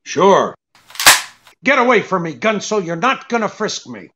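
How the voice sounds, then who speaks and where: An actor playing a tough, wry private detective, hard-boiled and wisecracking, in a 1940s-style radio drama. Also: male voice, sits high, very animated, a little slow, muffled recording, very noisy. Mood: defiant. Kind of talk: 1940s radio drama acting with first-person narration.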